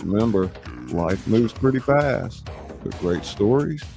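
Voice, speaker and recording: Guy hosting a livestream, lowish voice, dramatic showman announcing, bit of music behind. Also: animated, a little slow, gravelly. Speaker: show host and narrator. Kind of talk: live stream hosting, scripted dramatic announcing.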